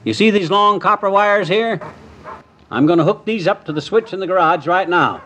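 An actor playing a boastful middle-aged husband and tinkerer in a 1950s radio comedy, folksy and bombastic in manner. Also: male voice, high voice, normal speed, pushed and bright. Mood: eager, boastful. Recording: noisy vintage 1950s radio recording.

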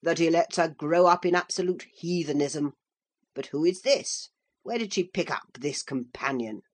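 A woman's voice: deep voice, normal speed. A woman doing a pompous, blustering old magistrate. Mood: disapproving. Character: pompous elderly gentleman magistrate.

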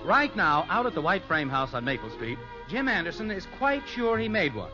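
An American man narrates a vintage radio comedy with folksy, wry delivery. His fairly high voice moves with lively intonation over clear music, on a dull old recording. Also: moderate pace. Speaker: radio show announcer and narrator. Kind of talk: 1950s scripted radio narration.

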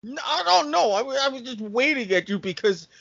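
A man speaking as a podcast panelist in a casual debate, with a deliberate, emphatic and halting delivery. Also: extremely high pitch, animated, creaky, fairly bright tone, dry recording, muffled recording.

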